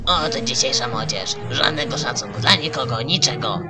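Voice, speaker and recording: A man's voice: mid-range voice, animated, normal speed, natural voice. A man voicing a grumpy, scolding old porcupine in an amateur cartoon fan dub. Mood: grumbling. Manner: cantankerous, scolding, taunting. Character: grumpy old male porcupine guarding his log. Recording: music behind the voice.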